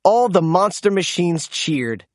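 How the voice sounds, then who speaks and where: A woman reading a children's storybook aloud as narrator, in an expressive read-aloud style. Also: on the low side, slow pace, dry recording, studio quality. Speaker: storybook reader.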